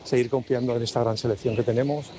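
A man's voice: medium pitch, steady tone, quick pace, heavy background noise. A man with an accent from Spain giving a casual sports opinion as a caller to a radio show. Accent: Spanish, from Spain.

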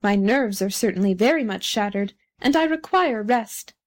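A woman's voice: medium pitch, expressive, moderate pace, close to an everyday voice. A woman voicing a vain, pompous, supercilious male firework rocket in a storybook reading. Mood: haughty.

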